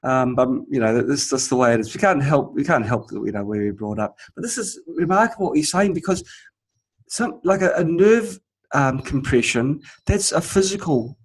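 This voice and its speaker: New Zealand man hosting, husky, lively and animated pitch, affable and rambling, on a video call. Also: normal speed. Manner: affable, rambling, self-deprecating. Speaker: interview host. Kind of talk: interview.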